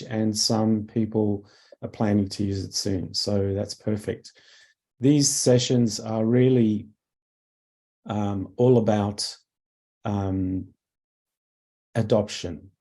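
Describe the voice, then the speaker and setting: Croaky low-voiced man presenting a webinar. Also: mellow. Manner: halting, many fillers, clears throat often. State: croaky from a cough. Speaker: product manager presenting a webinar.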